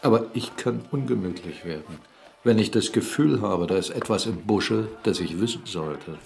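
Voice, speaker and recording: A middle-aged man acting a gruff, commanding, sardonic captain and jealous fiancé in a German radio drama, mocking and blustering. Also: on the low side, animated, moderate pace. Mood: jealous, threatening. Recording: studio radio drama with music underneath.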